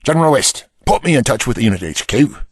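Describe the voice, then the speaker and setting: A man playing a blunt, commanding prime minister in an audio drama, with a husky voice. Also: mid-range voice, big pitch swings, fast, dry recording, clean studio sound. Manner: blunt, barking orders, swinging from alarm to brooding.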